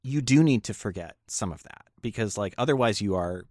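Male podcast host, lively pitch, easy laugher, stammering restarts, dry close sound. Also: a little fast, no echo. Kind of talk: casual chat.

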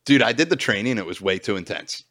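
A young man with a deep voice, chatting casually and laid-back as a podcast co-host, often saying "dude". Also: animated, quick pace, fairly bright tone. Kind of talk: casual chat.

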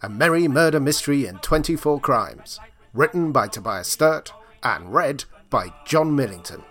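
Lively male announcer over faint music. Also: mid-range voice, normal speed. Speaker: narrator. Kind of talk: scripted story recap and title announcement.

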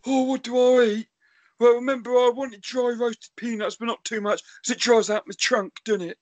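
A man voicing a small, dim, cheerful male elephant who rambles along in a deadpan way. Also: very high-pitched, normal speed, no room sound, muffled recording. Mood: rambling. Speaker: podcast co-host.

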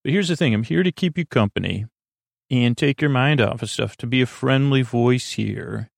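Creaky-voiced, meandering man lulling listeners. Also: medium pitch, dry recording, clean studio sound. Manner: meandering, halting, self-interrupting; lulling, soothing. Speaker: podcast host. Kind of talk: rambling bedtime sleep podcast monologue.

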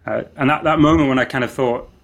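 A man's voice: mid-range voice, quick pace, gravelly, dull audio. A man speaking as a podcast guest, answering in a reflective, thinking-aloud way. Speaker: guest, marketing executive. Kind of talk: podcast interview.

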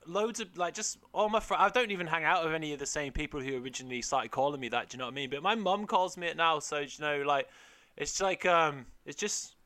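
Man, young adult, stand-up comedian, loud, swearing, self-mocking, slightly high voice. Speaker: podcast guest, stand-up comedian. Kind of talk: casual chat.

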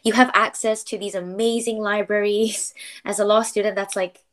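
A woman's voice: mid-range voice, level tone, normal speed. A young adult woman from Malaysia, a paralegal talking as a podcast guest in a chatty way, laughing often and filling with "like". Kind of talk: casual interview chat.

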